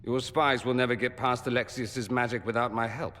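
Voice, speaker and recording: An actor playing a witty, self-assured, theatrical mage with a droll manner in a fantasy video game. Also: male voice, medium pitch, fairly even intonation, fairly quick, a bit bright, close to an everyday voice. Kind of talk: dramatic video game acting. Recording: long hall reverb, music behind.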